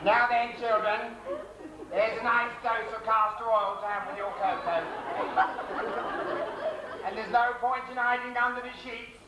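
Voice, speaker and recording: A man playing a bossy old nursemaid dame in an amateur pantomime, scolding in a brisk, comic way. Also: very high-pitched, steady tone, dull audio, crowd noise. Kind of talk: amateur pantomime stage acting. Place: large theatre stage. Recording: echoey theatre from far off, audience noise.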